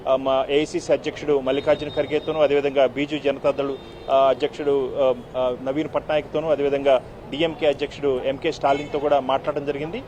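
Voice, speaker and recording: A man giving an unscripted live news report as a correspondent, halting and repetitive with frequent filled pauses. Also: a little high, a little mellow, slight background noise.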